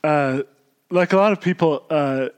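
A man with a slightly higher voice, raised between Austria and the U.S., talks as a guest on a podcast about his own path. He is a monk, and he speaks thoughtfully, often pausing and circling back to find the right word. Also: clean tone. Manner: thoughtful, searching for words. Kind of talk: podcast interview.

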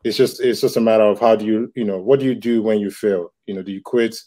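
Man from Nigeria, thirties, lowish mellow voice, reflective, lots of ums. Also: fairly even intonation, fast. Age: 30s. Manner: reflective, many fillers and restarts. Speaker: comic book creator. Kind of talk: casual interview talk.

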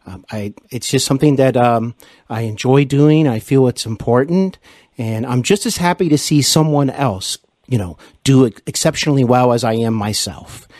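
A man's voice: mid-range voice, moderate pace, a little mellow, no room sound, studio quality. A man hosting a conversational interview, speaking in an effusive, complimentary way. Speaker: podcast host and interviewer.

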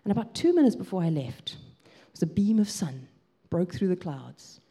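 A woman with a South African accent preaches in a low, mellow voice. Her delivery is lively, with pitch that swings widely and rises as she warms to her story. She tells it like a conversation, with frequent ums. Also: normal speed, slightly rough. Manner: lively storytelling, gets excited, lots of ums. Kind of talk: sermon with a personal story.

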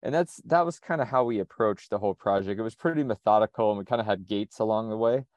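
A man from the United States, speaking in a relaxed conversational interview, thinking aloud with frequent restarts. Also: fairly low pitch, fast, no room sound. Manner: reflective, methodical, frequent restarts. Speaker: sailor and interview guest.

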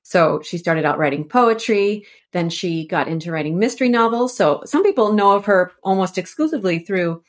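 A woman speaks in a conversational podcast interview style. Her voice sits at an average pitch for a woman. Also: animated, normal speed, hardly any pauses.

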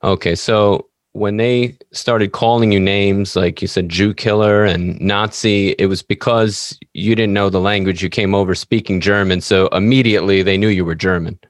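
A man's voice: lowish voice, fairly even intonation, moderate pace, few pauses, no room sound, studio quality. A man hosting an interview podcast, asking follow-up questions and dropping in short affirmations. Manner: brief backchannel affirmations. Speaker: podcast host.

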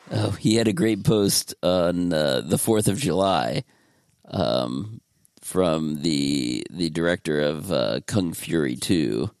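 A man's voice: extremely low pitch, vocal fry, no room sound, clean studio sound. A man hosting a podcast in casual chat, talking in a laid-back, meandering way. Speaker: podcast host.

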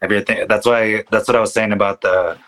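A man with a very deep yet bright, crisp voice talks quickly and easily. Spanish was his first language, and he chats in a relaxed, agreeable way as a guest. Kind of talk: casual chat.

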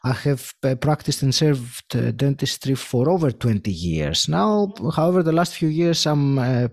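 A middle-aged man from Greece, a dentist and podcaster, answering interview questions reflectively and searching for words with many pauses and fillers. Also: mid-range voice, expressive, vocal fry, darkish tone. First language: Greek.

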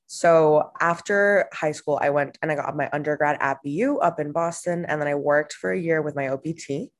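A woman's voice: low voice, normal speed. Chatty young woman from Honduras. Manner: chatty, with frequent fillers and restarts. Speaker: guest. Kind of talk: casual interview answers.

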